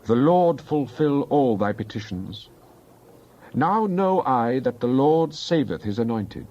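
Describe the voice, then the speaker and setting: Male scripture reader, mid-pitched, solemn and declamatory, on slightly muffled audio. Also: animated, slow pace, a little mellow. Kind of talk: dramatized Bible reading.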